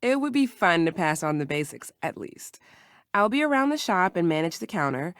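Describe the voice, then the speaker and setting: A woman playing a careful herbal shopkeeper in a video game, instructive and gentle. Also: lowish voice, sing-song, moderate pace, no echo, studio quality. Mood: welcoming, measured. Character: calm female herbal shopkeeper, patient, careful mentor.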